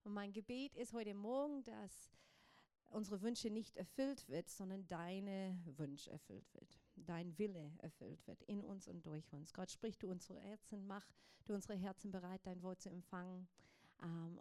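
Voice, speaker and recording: An Australian woman in her thirties preaches in German, a language she learned, with English as her first language. She talks in a chatty way to the congregation and full of hesitations, then drops into soft, slow prayer. Her voice is close and dry, at a middle pitch. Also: dry recording. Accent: Australian, speaking German as a learned language. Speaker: pastor, guest speaker. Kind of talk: casual sermon and prayer to a congregation.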